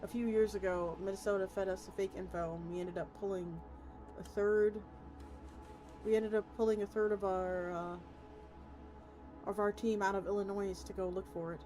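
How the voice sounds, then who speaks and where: A woman's voice: mid-range voice, steady tone, normal speed, lightly acted. A woman voicing a practical, sympathetic woman from a search team in a story, reasoning tactfully. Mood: matter-of-fact. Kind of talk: character reading of a story. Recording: loud music behind the voice.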